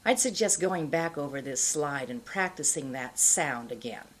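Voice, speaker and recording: A middle-aged woman giving an instructional pronunciation lesson, explaining in a deliberate, carefully enunciated way. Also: sits low, expressive. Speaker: pronunciation teacher. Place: indoor studio, plain backdrop.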